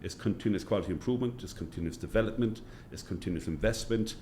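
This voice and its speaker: A middle-aged man with a Cork Irish accent, answering interview questions as a hospital chief executive in a measured way, repeating phrases for emphasis. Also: lowish voice, darkish tone, slight background noise. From Cork, Ireland.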